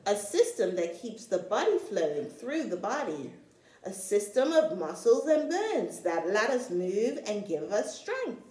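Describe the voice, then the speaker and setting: Slow, animated woman reading a storybook. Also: medium pitch, echoey room, rough recording. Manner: animated, deliberate storytelling for young children. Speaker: storytime reader, teacher-like. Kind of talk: expressive read-aloud of a children's picture book.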